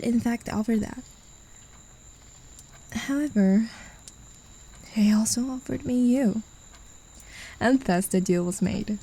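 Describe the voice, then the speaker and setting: A woman voicing a possessive, mocking demon in an ASMR roleplay, speaking in a condescending, teasing way with soft whispers. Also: medium pitch, breathy edge, low-quality recording. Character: mocking, possessive demon who claims a human as a pet.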